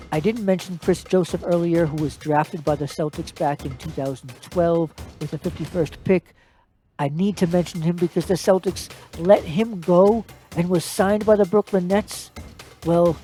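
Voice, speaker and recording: A man with an American accent hosts a solo sports podcast, and he talks in a casual, easy way. His voice is mellow and dark in tone. A faint music bed plays quietly behind him. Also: sits high. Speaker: podcast host.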